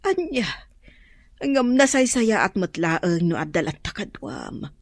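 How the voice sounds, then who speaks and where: Anxious wife for radio drama: animated pitch, slightly rough husky tone, close dry recording. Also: female voice, takes it slowly, no room sound, heavily acted. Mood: questioning, anxious.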